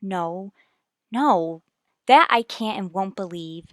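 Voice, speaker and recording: A woman's voice: mid-range voice, expressive, slow pace, no echo, clean studio sound. An actress playing an earnest, anxious but determined wife and mother in a 1950s radio soap, formal and firm. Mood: firm, defiant.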